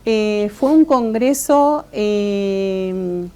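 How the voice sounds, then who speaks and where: A woman with an Argentine accent, a guest academic answering interview questions in a measured, thoughtful way, with many fillers and restarts. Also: medium pitch, lively intonation, mellow, clean tone. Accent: Argentine Spanish.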